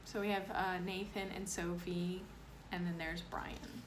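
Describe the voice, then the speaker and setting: A woman's voice: lowish voice, level tone, unhurried. A woman reading a picture book aloud to children and explaining it in simple asides. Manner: plain, explanatory, child-directed phrasing. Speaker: read-aloud storyteller, teacher addressing children. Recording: clear background noise.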